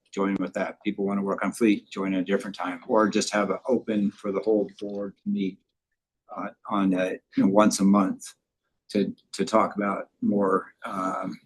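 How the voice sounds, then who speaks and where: A man chairing a public board meeting, speaking in a relaxed, halting way with many fillers. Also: lowish voice, husky, low-quality recording.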